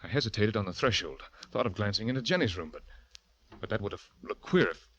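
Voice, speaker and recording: A young actor playing a guilty, panicking husband who narrates his own story in a halting, stammering way, in 1940s radio drama style. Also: on the low side, animated, a bit bright, husky, lo-fi sound, heavily acted. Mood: tense. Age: young adult. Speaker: narrator and lead.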